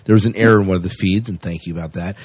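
A man's voice: low-pitched, fairly even intonation, very fast, a little mellow, breathy edge, no echo. A man co-hosting a podcast in a casual, chatty, jokey way with rambling asides. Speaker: podcast co-host.

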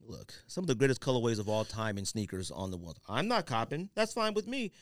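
A man hosting a podcast in casual banter, talking in an animated, slangy, emphatic way. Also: mid-range voice, brisk, no room sound. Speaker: podcast host.

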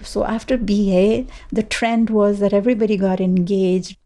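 A woman's voice: medium pitch, level tone, normal speed, warm tone. A woman in her seventies from Kashmir, telling her life story in an interview, reflective, with fillers and restarts. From Kashmir, India. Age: 70s. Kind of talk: personal storytelling.

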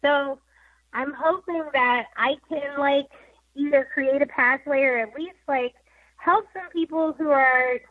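A woman's voice: high-pitched, a little slow. A young woman with a sweet, girlish voice, chatting as a guest on a talk show, laughing often and using lots of fillers. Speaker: guest musician. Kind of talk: casual interview chat. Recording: dull lo-fi sound.

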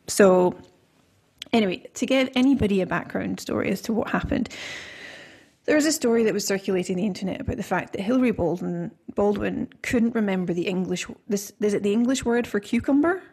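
A woman's voice: mid-range voice, a little fast, darkish tone, husky. A woman from Scotland hosting a podcast, talking in a chatty, rambling way with plenty of fillers and restarts. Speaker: podcast host. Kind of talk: casual podcast conversation.

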